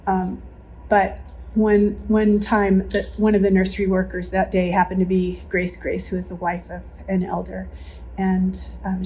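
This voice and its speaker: A woman, a longtime church member, telling a personal story in an interview in an earnest, measured way. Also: mid-range voice, warm tone, a little hiss or hum, rough recording.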